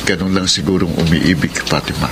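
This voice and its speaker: A male actor playing a smooth-tongued young man in love in a Filipino radio drama. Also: sits low, fairly even intonation, brisk, very noisy. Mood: playful, romantic. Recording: music behind the voice.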